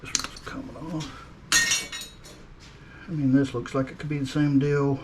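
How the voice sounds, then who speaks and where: Male hobby host, medium pitch, folksy off-the-cuff muttering while working, very noisy clanking tools around him. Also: flat delivery, normal speed. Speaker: hobbyist host of a scrapping channel. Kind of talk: casual hands-on workshop commentary. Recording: loud clanking and clattering of metal tools. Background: metal clatter and clicks of dismantling work.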